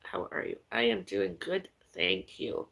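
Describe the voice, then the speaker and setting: A woman with a raspy, gravelly voice talks in a rambling, chatty way on a home live stream. Her pitch swings around a lot, and her tone is bright and tense. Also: sing-song, measured pace. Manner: rambling, chatty, calls out to someone in another room. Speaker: vlogger. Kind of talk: casual live-stream chat. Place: home kitchen.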